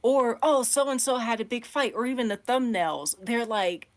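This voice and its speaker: Woman, fairly high pitch, animated, emphatic, casual opinionated podcast talk. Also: normal speed. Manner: animated, emphatic, mimics others in quoted asides. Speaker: podcast co-host.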